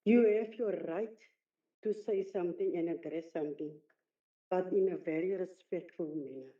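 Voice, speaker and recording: A woman's voice: fairly low pitch, moderate pace. Woman councillor, Afrikaans accent, earnest pleading tone, tinny phone-like audio. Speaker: municipal councillor. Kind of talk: council meeting remarks.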